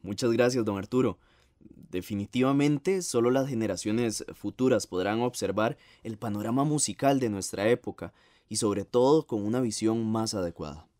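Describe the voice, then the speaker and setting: A man's voice: on the low side, moderate pace, warm tone, no echo, studio quality, close to an everyday voice. A man playing a gracious, modest 1950s composer in a radio theatre interview, with a Costa Rican accent and a formal, reflective manner.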